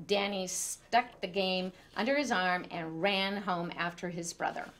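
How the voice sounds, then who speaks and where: A woman storyteller reading a children's picture book aloud in an expressive way. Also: fairly low pitch, measured pace, a little hiss or hum.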